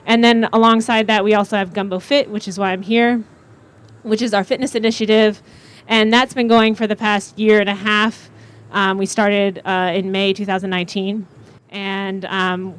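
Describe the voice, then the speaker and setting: A young woman speaking as a panelist, introducing herself in a thoughtful, measured way with frequent fillers. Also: medium pitch, level tone, clean tone. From Chicago area. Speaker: panelist, media co-founder.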